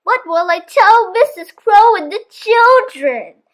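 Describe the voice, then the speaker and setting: A woman's voice: very high-pitched, lively intonation, slow pace, voice pushed up, heavily acted. A woman voicing a proud, gullible father crow in a children's storybook, dramatic and self-pitying. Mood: rueful. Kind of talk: children's storybook read-aloud.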